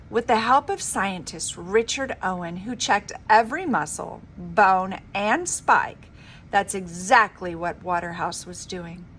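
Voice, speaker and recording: A woman reading a children's story aloud in an expressive, dramatic, emphatic storybook manner. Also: medium pitch, slight background noise. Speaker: reader of a children's book.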